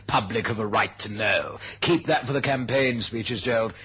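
Actor as an urbane, low-voiced British politician. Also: male voice, slight background noise, close to an everyday voice. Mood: amused, brisk. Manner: urbane, unhurried, clipped establishment speech. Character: dry, amused senior British government politician. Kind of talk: 1970s radio drama acting. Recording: dull old radio recording.

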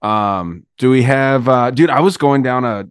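A man's voice: mid-range voice, a little slow, clean tone, no echo, studio quality. A man hosting a podcast in casual chat, talking in a profane, slangy way. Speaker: podcast host.

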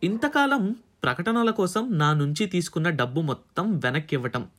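A man's voice: a little high, lively intonation, normal speed, fairly bright tone. A man voicing a shrewd, unbudging negotiator in an audiobook, curt and businesslike. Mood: cool, firm. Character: shrewd, unbudging male client driving a hard bargain.

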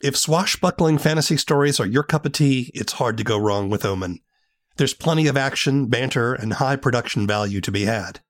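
A man hosting a podcast, speaking in a scripted, measured announcer style into a close mic. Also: medium pitch. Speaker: podcast host. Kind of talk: scripted podcast narration and interviewing.

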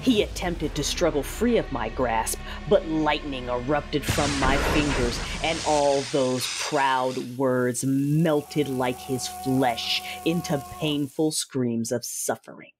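An actor playing a cruel, vengeful Sith lord, narrating an audio drama in a deliberate, commanding, menacing way with a husky voice. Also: mid-range voice, natural voice, rough recording. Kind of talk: first-person audio drama narration with dialogue. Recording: dramatic music behind the voice. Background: music.

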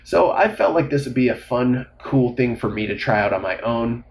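Male podcast host, stuffy and a bit nasal, loose rambling delivery, mid pitch, faint background hiss. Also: animated, moderate pace, a little mellow. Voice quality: slightly nasal. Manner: rambling, casual, with frequent fillers. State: congested. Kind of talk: solo podcast monologue.

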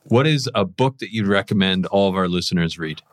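A man co-hosting a podcast, animated and easily amused, asking casual questions. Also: sits low, brisk, no echo, clean studio sound. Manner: animated, laughs often. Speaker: podcast co-host.

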